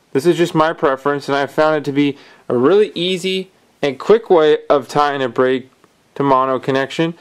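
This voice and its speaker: Guy explaining fishing knots step by step, clear smooth voice, bit higher pitched. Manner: calm step-by-step explaining while tying. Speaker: fishing instructor. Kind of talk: hands-on knot-tying tutorial. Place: indoors at a wooden table.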